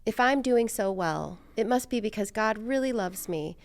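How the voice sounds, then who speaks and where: A woman's voice: mid-range voice, expressive, moderate pace. A woman hosting a podcast interview, chatting in a casual, informal and candid way. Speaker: podcast host.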